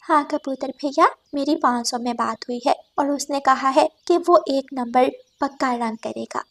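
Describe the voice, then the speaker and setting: Woman acting as female songbird, very high voice, kind, particular homeowner, courteous, insistent, cartoon. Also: moderate pace, fairly bright tone. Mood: chatty. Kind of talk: Hindi children's cartoon dialogue.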